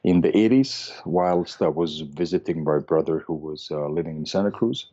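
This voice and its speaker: A man's voice: deep voice, moderate pace, warm tone, dull audio. A man in his forties from Austria, a medical doctor answering interview questions hesitantly, with many fillers and restarts. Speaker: podcast guest, medical doctor.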